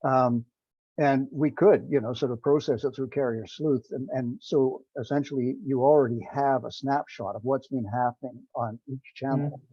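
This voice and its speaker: A man giving an informal technical presentation on a teleconference, speaking in a halting, rambling way. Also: mid-range voice, steady tone, dark tone. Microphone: remote teleconference call. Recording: dull, roomy conference-call audio.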